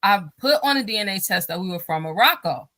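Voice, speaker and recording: A woman's voice: medium pitch, animated, quick pace, bright, forceful tone, dry recording, studio quality. A married woman, a guest on a talk show, speaking casually in a rambling way with many fillers and restarts, and often laughing as she talks. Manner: laughs often while talking.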